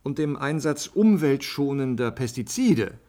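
A man's voice: mid-range voice, very animated, unhurried, dark tone. An actor playing a prim, defensive male biology professor in a German radio drama, precise and correcting.

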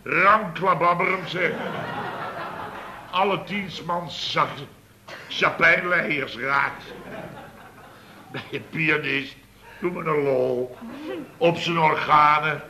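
An actor playing a blustering, indignant boss of a building firm in a Dutch radio comedy, grumbling at length and sputtering with exasperation. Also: male voice, a little high, takes it slowly, long pauses, pushed and bright, full character voice. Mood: scolding. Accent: Netherlands Dutch. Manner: exasperated, sputtering, long-winded comic grumbling. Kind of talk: Dutch radio comedy acting around 1970. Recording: hissy old radio recording.

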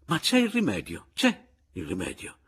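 Guy doing a grovelling evasive secretary in an audiobook, whiny pleading, swoopy pitch, husky. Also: expressive, heavily acted. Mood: eager advising. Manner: pleading, halting, ingratiating. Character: servile, whining male secretary, pleading and evasive.

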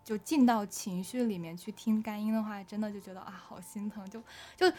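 A woman's voice: mid-range voice, a little fast, mellow. A woman speaking as an audio drama director in a casual, unscripted interview, hesitant and often repeating words as she finds her phrasing.

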